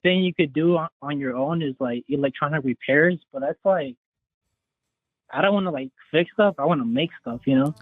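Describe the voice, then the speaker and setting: Caller, young man in his twenties, higher-pitched, chatty and rambling, heard through a thin phone line. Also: moderate pace. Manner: rambling, hedging with "like". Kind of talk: casual phone call.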